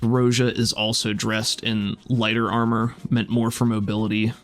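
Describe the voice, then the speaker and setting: A man's voice: fairly low pitch, little pitch movement, normal speed, a bit bright, faint music behind. A man acting as game master, narrating a tabletop roleplaying game in a casual, descriptive way.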